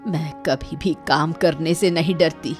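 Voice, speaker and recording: A woman's voice: deep voice, steady tone, a little slow, faint music behind. A woman voicing a hardworking elderly village mother-in-law in a cartoon, proud, plain-spoken and plaintive. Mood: indignant. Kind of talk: animated moral story acting.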